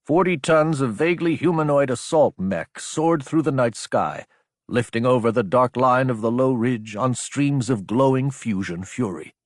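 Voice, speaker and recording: Male narrator for action audiobook, mid-pitched, dramatic scripted read, very dry recording. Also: expressive, moderate pace, no room sound.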